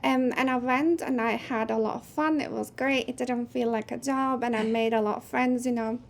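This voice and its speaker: A woman in her thirties, a native Turkish speaker, talks over a video call in an easy, thoughtful way, often pausing on "um". Her voice sits fairly high with a gravelly fry at the ends of phrases. A faint hiss of noise runs underneath. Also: steady tone. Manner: thoughtful, soft-spoken with frequent "um"s. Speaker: guest, game producer. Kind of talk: casual interview chat.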